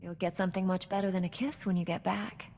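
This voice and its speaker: An actress playing a composed, gently teasing young Jedi knight in a video game, measured and earnest. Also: female voice, on the low side, steady tone, in a large hall, a little hiss or hum, lightly acted. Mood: dry. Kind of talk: video game cutscene acting.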